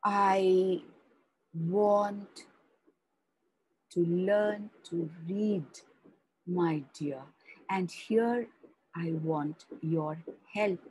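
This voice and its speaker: A woman voicing a gentle sixty-two-year-old grandmother. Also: lowish voice, slightly rough, full character voice, rough recording. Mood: sad, pleading. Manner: soft, earnest, slow storytelling delivery.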